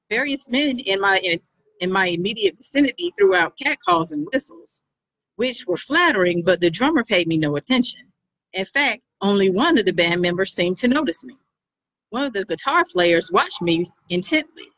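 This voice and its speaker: A woman author reading a first-person chapter of her own novel aloud, expressive and animated. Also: mid-range voice, no room sound, low-quality recording.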